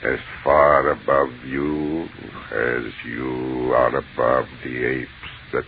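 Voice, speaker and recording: An actor playing an ancient, contemptuous, hungry alien mind with a menacing, imperious delivery in a 1930s radio horror drama. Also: male voice, a bass voice, flat delivery, slow, exaggerated voice. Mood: imperious contempt. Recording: tinny, noisy 1930s radio recording.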